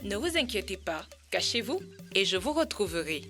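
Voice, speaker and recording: A woman's voice: medium pitch, very animated, normal speed, bright, forceful tone, soft background music. A woman voicing an eager, friendly owl in a children's educational cartoon, with a playful, exclamatory delivery. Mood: hesitant, friendly. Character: eager, friendly owl who sings at night.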